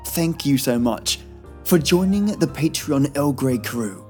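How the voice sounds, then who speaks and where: A man's voice: mid-range voice, expressive, slow. A man hosting a podcast, giving a chatty, playful and wry scripted introduction. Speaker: podcast host. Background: faint music.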